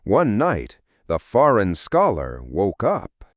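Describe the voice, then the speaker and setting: A man with a fairly low voice reads a fairy tale as an audiobook narrator. His delivery is slow and measured, with extremely animated, sweeping intonation that brings the storytelling to life. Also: slightly rough, dry recording, clean studio sound.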